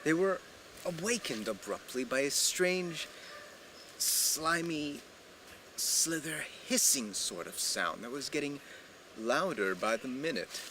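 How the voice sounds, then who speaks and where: A man telling a folk tale in a theatrical, deliberate way with emphatic pauses, recorded at a live storytelling event. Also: fairly high pitch, lively intonation, sharp tone, a little hiss or hum. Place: live evening storytelling program, filmed.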